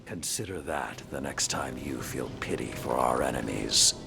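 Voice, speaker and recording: An actor playing a stern, battle-hardened older warlord in a video game cutscene, with a gravelly voice and a slow, grave, deliberate delivery. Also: male voice, extremely low pitch, lively intonation, exaggerated voice. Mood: grim, solemn. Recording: loud music under the voice. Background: loud music.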